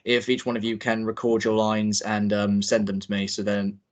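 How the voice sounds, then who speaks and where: A man's voice: fairly low pitch, monotone, normal speed. A young man directing a group table read on a video call, talking in a rambling way with many fillers and restarts. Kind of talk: casual chat.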